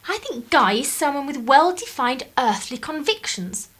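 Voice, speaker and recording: A woman acting a headstrong young English daughter in a radio play. Her voice is high and swoops expressively. She is assertive, idealistic and quick to turn sardonic. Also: sing-song, a bit bright. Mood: frank, assured. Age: young adult. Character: defiant, idealistic eighteen-year-old English daughter, sharp-tongued.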